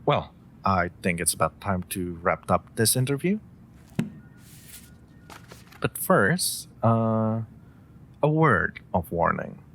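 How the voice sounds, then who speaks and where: A man's voice: very animated, slow pace, long pauses, fairly bright tone, exaggerated voice. A man playing a polite, theatrical supervillain in a scripted audio roleplay, speaking courteously with hesitant asides and faint menace. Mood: serious, warning. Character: polite, theatrical male supervillain interviewing a job applicant. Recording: faint background ambience and rumble.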